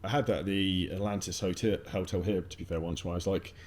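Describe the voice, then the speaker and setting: Male host, very low voice, rapid and casual delivery with swearing, faint ambience. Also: fairly even intonation, a little mellow, slight background noise. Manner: fast, casual, swears freely. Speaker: podcast host. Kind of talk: casual interview chat.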